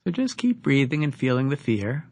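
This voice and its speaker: A man leading a guided meditation as a teacher, giving instructions with deliberate pauses between phrases. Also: mid-range voice, sing-song, a little mellow, no room sound.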